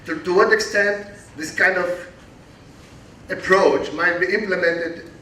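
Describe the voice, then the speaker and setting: High bright-voiced man asking from the audience. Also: fairly even intonation, a little hiss or hum. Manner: halting, searching for words. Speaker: audience member asking a question. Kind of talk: long spoken question at a talk. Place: lecture hall. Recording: echoey hall from far off.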